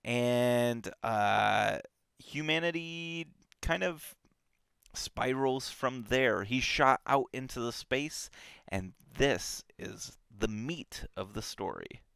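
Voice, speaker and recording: A man co-hosting a live book discussion stream, relaxed and blunt, with many fillers. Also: mid-range voice, takes it slowly, creaky, smooth, no echo, studio quality. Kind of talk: casual live-stream chat.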